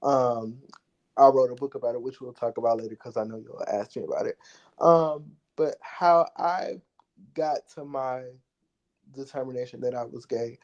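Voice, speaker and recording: A man from Saint Louis speaks at a middle pitch in a measured, careful manner, pausing often with "um". The sound is a little dull. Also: fairly even intonation, darkish tone. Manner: measured, frequent "um" pauses. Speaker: guest. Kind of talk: casual personal conversation.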